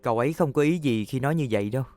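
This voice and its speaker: A man playing a proud, earnest boy racer in a dubbed animated series. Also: mid-range voice, very fast, faint music behind, lightly acted. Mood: regretful, earnest. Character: proud, easily offended boy racer, loyal at heart.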